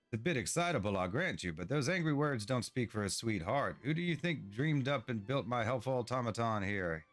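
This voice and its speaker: Guy doing a gentle old dwarf, indulgent and old-timey, game dialogue with music behind. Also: mid-range voice, animated, fairly quick, lightly acted. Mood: indulgent, coaxing. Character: kindly old dwarf villager, teasing and indulgent. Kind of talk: game dialogue read aloud.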